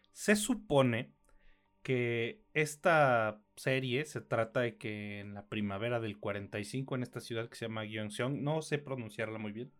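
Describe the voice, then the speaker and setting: Casual Mexican man reviewing shows. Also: medium pitch. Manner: blunt, crude slang. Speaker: podcast co-host reviewing films and series.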